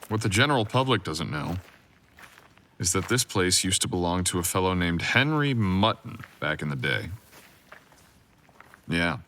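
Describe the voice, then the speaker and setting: Man playing a very deep-voiced brooding supernatural boyfriend. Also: a bit bright. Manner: intimate, teasing, drawling. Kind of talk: boyfriend ASMR audio roleplay.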